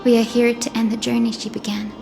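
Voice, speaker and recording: A woman's voice: fairly high pitch, little pitch movement, brisk, creaky. A woman voicing an earnest, hopeful young descendant of sky folk in a video game cutscene, with a solemn, poetic storytelling manner. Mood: solemn, resolute. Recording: background music under the voice.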